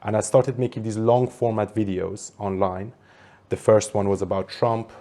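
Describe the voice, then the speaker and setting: A man's voice: on the low side, fairly even intonation, moderate pace, dark tone. A man in his thirties from Iran, a political commentator giving long, earnest interview answers. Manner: earnest, fluent, talks at length.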